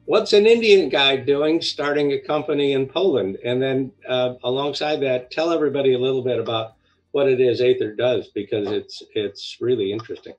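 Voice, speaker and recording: An older man hosts a conversational interview over a video call, pausing often as he phrases things. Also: medium pitch, muffled recording, faint music behind. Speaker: podcast host. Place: indoor home room, video call. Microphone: headset on a video call.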